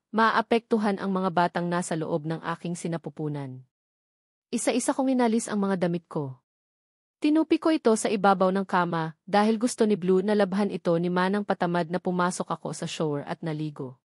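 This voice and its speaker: A woman's voice: lowish voice, darkish tone, dry recording, clean studio sound. A woman with a Filipino accent reading a first-person novel aloud as a scripted narration. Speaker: audiobook narrator.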